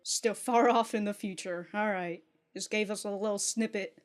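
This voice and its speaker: A woman's voice: medium pitch, steady tone, moderate pace, soft background music. A woman giving casual, sweary, giggly reaction commentary as she hosts a reaction video. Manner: giggly, often breaking into laughter.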